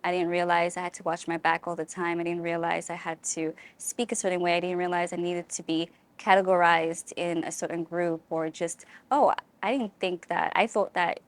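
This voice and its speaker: A woman's voice: on the low side, level tone, normal speed, few pauses. Earnest young South African woman. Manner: earnest, reflective, speeds up when making a point. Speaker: student interviewee. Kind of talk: candid interview answer.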